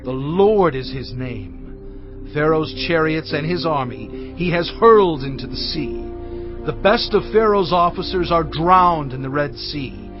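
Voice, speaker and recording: An actor playing Moses, reciting a song of praise in a slow, exalted, declaiming way. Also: male voice, fairly high pitch, lively intonation. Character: Moses, devout male leader singing praise. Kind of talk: dramatized scripture recitation. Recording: muffled, with music underneath.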